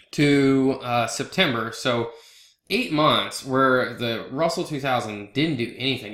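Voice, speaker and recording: A man with a head cold hosts his own trading video blog and talks through stock charts in a loose, chatty way, tossing in "right?" as he goes. His voice is bright and forward, and he sounds congested and sniffly. The room behind him is echoey. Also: mid-range voice. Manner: conversational, loose, with fillers and asides like "right?". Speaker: trader hosting a market analysis video blog. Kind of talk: casual chart walkthrough over a screen recording.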